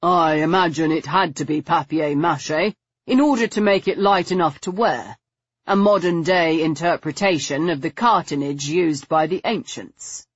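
A woman narrator plays a courteous, learned man in an audiobook with a low, husky voice. He is calm, soothing and measured, heard in a roomy recording. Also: highs cut off. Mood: matter-of-fact.

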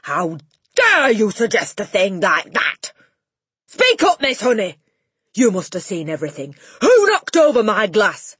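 A woman's voice: mid-range voice, huge pitch swings, measured pace, slightly rough, dry recording, heavily acted. A woman voicing a furious, bullying giant of a headmistress who roars at her class. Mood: furious roaring. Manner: roaring, shouting.

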